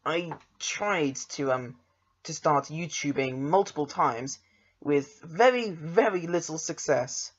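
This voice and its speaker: A man's voice: fairly high pitch, animated, slow. Young english guy hosting a podcast, hesitant and self-deprecating, muffled lo-fi call sound. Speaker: podcast host. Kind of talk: casual podcast chat.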